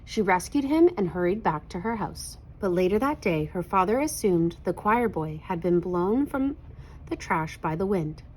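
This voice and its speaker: A woman narrating a children's picture book aloud in an expressive read-aloud style. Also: on the low side, moderate pace, a little hiss or hum, poor audio.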